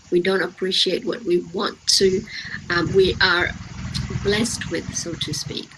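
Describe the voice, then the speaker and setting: Woman, motivational speaker, medium pitch, emphatic, repetitive phrasing, rhetorical questions, faint background noise. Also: pushed and bright, poor audio. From grew up in the Western world, of Indian heritage. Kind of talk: motivational talk to young people.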